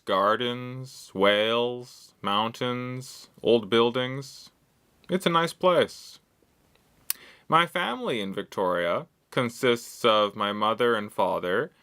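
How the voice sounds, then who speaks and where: Bright, clear-voiced Canadian man in his thirties. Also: mid-range voice. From Canada, West Coast. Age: 30s. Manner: simple sentences, frequent "uh" fillers, questions to the viewer. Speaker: English teacher. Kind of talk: slow, clear lesson monologue for learners.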